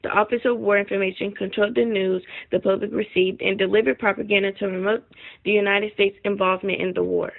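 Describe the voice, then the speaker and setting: The recording is narrow and phone-like. A woman reads documentary narration from a script, with plenty of vocal fry at a medium pitch. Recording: muffled, phone-like narrow band.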